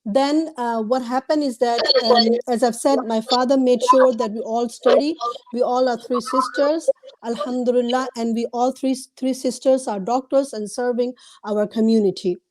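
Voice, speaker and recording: A woman's voice: a little high, fairly even intonation, normal speed, low-quality recording. Earnest woman doctor on a video call. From Myanmar (Rohingya), raised abroad. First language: Burmese. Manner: earnest, many ums and restarts. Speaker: doctor and activist guest. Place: room at home, indoors. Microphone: wired earphone headset on a video call.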